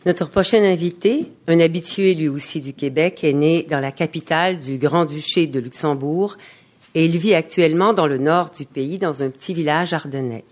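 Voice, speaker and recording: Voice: medium pitch, normal speed. A host formally reading out an introduction of the next guest at a literary event. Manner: reads a prepared introduction and quote. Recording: voice heard from a distance.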